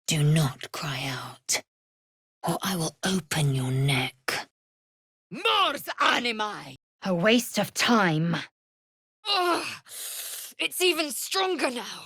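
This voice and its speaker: A woman's voice: on the low side, a bit bright, husky, dry recording, studio quality, full character voice. A woman voicing a proud, fierce alien warrior woman in a video game, with an imperious, clipped and contemptuous delivery. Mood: menacing, then fierce, battle-ready.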